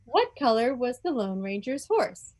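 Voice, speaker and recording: High-pitched, animated woman hosting a quiz. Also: unhurried. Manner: playful, mock-formal announcements. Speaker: quiz host at a club meeting. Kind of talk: informal quiz announcing to a group.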